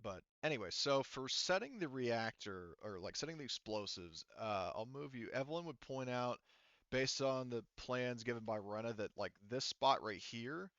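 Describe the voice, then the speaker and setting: A man running a tabletop game as game master, narrating casually in an informal, halting manner. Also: mid-range voice, expressive, a bit bright, no echo. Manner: informal, halting, sometimes profane. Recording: dull, muffled lo-fi sound.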